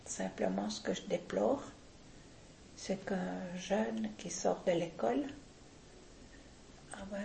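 An older woman, talking deliberately and with firm opinions in a casual interview, often restarting her sentences. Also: medium pitch, vocal fry, warm tone, reverberant, slight background noise, low-quality recording.

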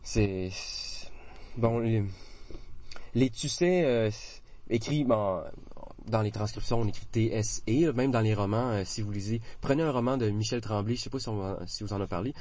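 Québécois man with a low voice. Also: normal speed, darkish tone. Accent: Québécois French. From Quebec. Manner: hesitant, many fillers and restarts. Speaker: interviewee. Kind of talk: casual conversation.

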